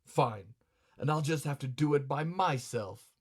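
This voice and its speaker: A man's voice: medium pitch, animated, measured pace, darkish tone. A man playing a grumbling, hot-tempered, vain male customer in a comic story, blustering with sarcastic, colloquial indignation. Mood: scornful, irritated.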